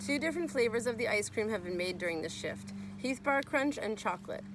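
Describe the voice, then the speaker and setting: A woman's voice: on the high side, lively intonation, a little fast, noisy background. A woman reading a children's nonfiction book aloud as a teacher would, explaining and speaking to young listeners.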